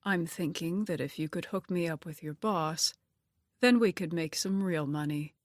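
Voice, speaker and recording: A woman's voice: on the low side, moderate pace, dry recording, clean studio sound, close to an everyday voice. A woman voicing a cool, calculating young man in an audiobook, controlled and insinuating in manner. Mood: cool, probing.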